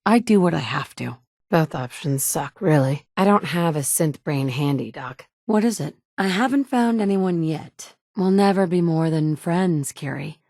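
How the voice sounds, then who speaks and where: An actress playing a capable, dry-witted survivor and leader in a video game, speaking directly and matter-of-factly. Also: female voice, low-pitched, lively intonation, unhurried, a little mellow, no room sound, heavily acted. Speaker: player character. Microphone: close studio mic.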